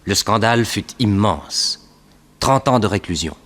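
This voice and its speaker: An actor playing a detective's loyal assistant, narrating the case in the first person for a 1960s French radio drama in a husky voice. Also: male voice, lowish voice, lively intonation, measured pace, a bit bright. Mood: dramatic, recounting.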